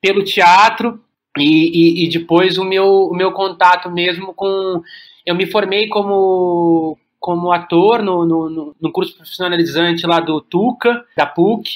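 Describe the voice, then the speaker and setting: A Brazilian man talking reflectively as an interview guest, haltingly, repeating words as he searches for the next one. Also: high-pitched, fairly even intonation, lo-fi sound. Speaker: podcast guest, playwright and director.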